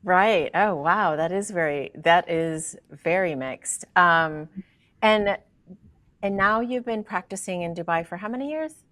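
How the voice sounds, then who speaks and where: A woman with a lowish voice hosts a relaxed conversation in a friendly, chatty manner. The recording is dry and close, with no room sound. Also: measured pace, dry recording. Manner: friendly, chatty, with frequent affirmations. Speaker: podcast host. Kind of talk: casual interview conversation.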